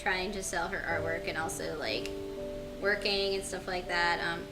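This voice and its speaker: A woman's voice: lowish voice, fairly even intonation, moderate pace. A woman chatting casually as a co-player in a gaming video, with a loose, goofy manner. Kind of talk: casual chat. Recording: music playing behind the voice.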